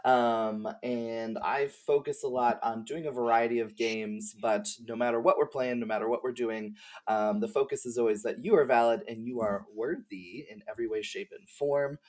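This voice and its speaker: A man talks casually as a guest on a gaming podcast. His voice is mid-pitched, and he chats loosely with frequent filler words. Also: normal speed. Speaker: podcast guest, game streamer. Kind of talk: casual chat.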